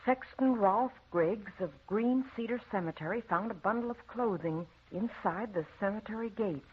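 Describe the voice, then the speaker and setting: A woman's voice: medium pitch, normal speed, breathy edge, close to an everyday voice. An actress playing a simple, folksy woman sharing newspaper gossip in an old radio drama. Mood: intrigued. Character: simple, gossipy woman Clara reading the paper aloud. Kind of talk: 1940s radio drama acting. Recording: tinny, phone-like old radio recording.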